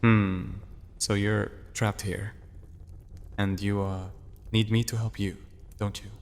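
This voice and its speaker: A man's voice: on the low side, level tone, slow pace, clean tone. A man playing a guarded, impatient wandering thief in a fantasy audio drama, speaking in a terse, wary, dismissive way. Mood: distrustful. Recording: long cavernous reverb, music underneath. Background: music.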